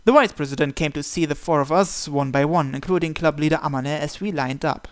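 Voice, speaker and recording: A game narrator translating and reading visual novel text aloud, with some hesitant pauses. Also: medium pitch, smooth. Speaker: video game playthrough narrator. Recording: game music behind the voice. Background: visual novel music and Japanese voice acting.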